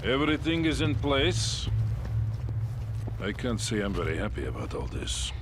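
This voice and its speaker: A man's voice: mid-range voice, wildly swooping pitch, moderate pace. A man playing a wry, commanding veteran Soviet colonel in cinematic game dialogue. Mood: serious. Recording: clear outdoor vehicle noise.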